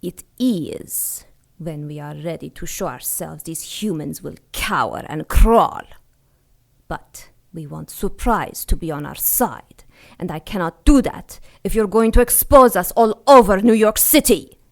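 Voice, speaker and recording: Cold bossy villain lady in a radio drama, low creaky voice, talks down to everyone. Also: a little slow. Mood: scornful. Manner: condescending, commanding, clipped orders. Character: imperious, scornful female seneschal of secret sinister cult. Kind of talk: pulp radio drama acting.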